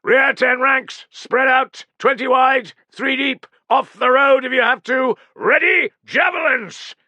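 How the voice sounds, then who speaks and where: A hard-bitten Roman officer bellows orders at his ranks, as a male narrator puts him on. The voice rides high and strained in a sustained shout, crisp and forceful. It sits dry and close, with no room around it. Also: takes it slowly, no room sound, highs cut off, full character voice. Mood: barking orders. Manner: shouted drill commands.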